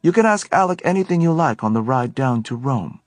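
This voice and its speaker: A man voicing a sharp, suspicious young woman who speaks in a cool, probing way. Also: mid-range voice, expressive, brisk, no room sound, voice pushed up, close to an everyday voice.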